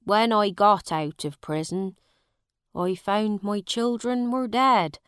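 A woman voicing a poor male farmer who slowly tells the sad story of his lost family. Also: medium pitch, fairly even intonation, clear, resonant tone, no echo, clean studio sound, close to an everyday voice. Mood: sorrowful. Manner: slow, heavy storytelling.

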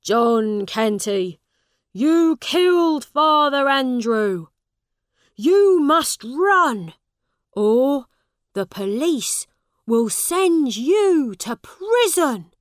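Lady as a man shouting a warning in a storybook, urgent but slow drawn out words. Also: high voice, big pitch swings, dry recording, studio quality, pitched above the performer's own voice, exaggerated voice. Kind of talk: storybook character voice.

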